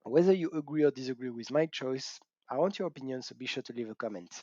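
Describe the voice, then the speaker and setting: A man's voice: medium pitch, animated, fast talker, mellow, dry recording. A middle-aged man with a French accent hosting a casual gaming channel, chatty and self-mocking, laughing often and whooping. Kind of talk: casual talk to camera. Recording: dull cheap mic, dry room.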